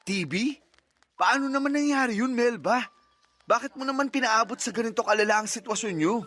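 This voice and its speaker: A man's voice: very high voice, brisk, a bit bright. An actor playing a cold, reproachful, well-off estranged father in a radio drama. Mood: reproachful concern. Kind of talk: Tagalog radio drama acting.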